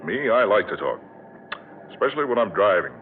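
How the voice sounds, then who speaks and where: Animated, low-voiced motorist. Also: male voice, fairly quick. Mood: friendly, chatty. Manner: hesitant, talkative, questioning. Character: chatty male motorist, friendly, increasingly uneasy. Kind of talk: old-time radio drama acting. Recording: muffled, hissy old radio recording.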